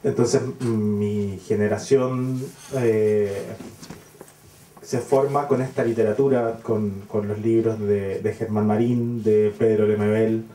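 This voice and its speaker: A man's voice: fairly low pitch, fairly even intonation, mellow. Hesitant Chilean man, distant roomy sound. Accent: Chilean Spanish. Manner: hesitant, many pauses and filler sounds. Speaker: writer interviewed. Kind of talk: reflective interview answers. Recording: roomy, distant mic with faint ambience.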